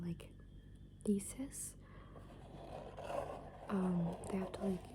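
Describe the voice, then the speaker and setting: A young adult woman rambles in a breathy ASMR whisper, hesitating and leaning on filler words as she goes. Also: on the low side. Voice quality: breathy, mostly unvoiced whisper. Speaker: ASMR video creator. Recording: clear background noise.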